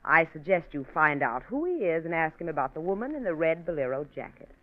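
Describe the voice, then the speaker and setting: Proud, defensive woman reporter for vintage radio mystery; animated, assertive, on a muffled old recording. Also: sits low, a little fast. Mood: cuttingly accusing. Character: proud, defensive newspaperwoman under suspicion of murder. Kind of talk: 1940s radio mystery acting.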